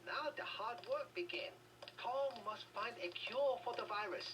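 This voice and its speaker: A noisy, somewhat distant game recording of a solemn female aide. Her voice sits a little high and stays formal. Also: lively intonation, measured pace. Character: solemn woman aide in a secret Hong Kong compound. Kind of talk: video game dialogue. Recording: noisy distant game capture, keyboard clicks.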